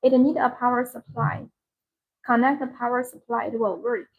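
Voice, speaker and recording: Woman selling stuff on a livestream, kinda high husky voice, non-native english, short simple phrases she repeats. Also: steady tone, moderate pace, rough recording. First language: not English. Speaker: live-stream product seller. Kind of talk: live sales demonstration.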